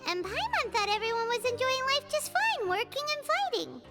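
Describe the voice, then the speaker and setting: A woman's voice: very high-pitched, big pitch swings, normal speed, full character voice. A woman voicing a small, cheerful, childlike fairy companion in a video game, blunt and curious. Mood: chirpy, opinionated. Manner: refers to herself in third person, perky. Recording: background music behind the voice.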